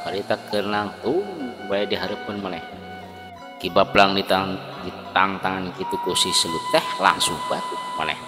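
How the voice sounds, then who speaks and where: Man, Sundanese accent, lively dramatic storyteller, long reverb, music behind. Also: deep voice, normal speed. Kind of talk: dramatic folk tale narration.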